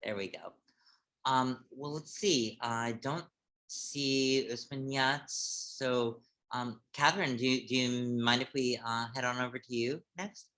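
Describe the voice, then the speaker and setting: A man's voice: mid-range voice, steady tone, measured pace, bright, forceful tone. A man hosting an online reading event, chatting casually and hesitantly with lots of ums and restarts. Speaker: event host. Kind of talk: casual chat. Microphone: home computer mic on a video call.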